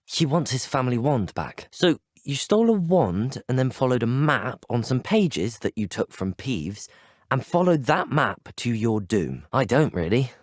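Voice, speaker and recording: A man's voice: medium pitch, sing-song, measured pace, dry recording. A young British man voicing a polite, inquisitive teenage wizard student in a video game, courteous and earnest. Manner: courteous, earnest, well-spoken. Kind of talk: scripted video game dialogue lines. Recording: dry, close studio voice recording.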